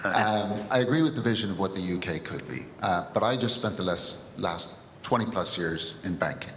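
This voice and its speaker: Deep-voiced man asking in an echoey hall. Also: normal speed, fairly bright tone. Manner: direct, builds an argument. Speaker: audience member asking a question. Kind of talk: audience question. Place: large lecture hall. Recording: echoey hall with faint noise.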